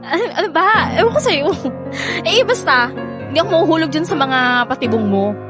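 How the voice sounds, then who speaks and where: A woman's voice: sits high, expressive, a little fast, over music. An actress playing a feisty, guarded young woman in a radio drama, with a Filipino accent and an exasperated, emotive delivery. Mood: skeptical, flustered.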